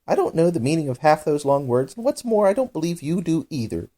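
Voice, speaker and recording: A man as a cheeky, squawky-high young eaglet. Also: big pitch swings, brisk, mellow. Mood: impudent. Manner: impudent, blunt. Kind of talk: storybook character voice.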